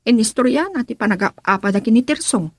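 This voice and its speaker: A woman's voice: on the high side, animated, a little fast, dry recording, studio quality. A woman acting a concerned daughter, earnest and confiding, in an Ilocano radio drama.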